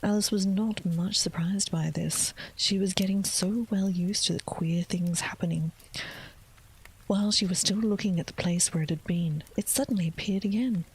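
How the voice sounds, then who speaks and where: A woman reading a children's classic aloud in a soft, gentle whisper, as in ASMR storytelling. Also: mid-range voice, steady tone, normal speed, husky, poor audio.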